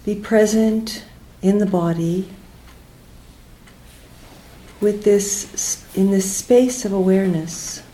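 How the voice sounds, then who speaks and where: A woman leading a guided meditation as a teacher, speaking gently and unhurriedly in short phrases with pauses between them. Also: mid-range voice, steady tone, rough recording.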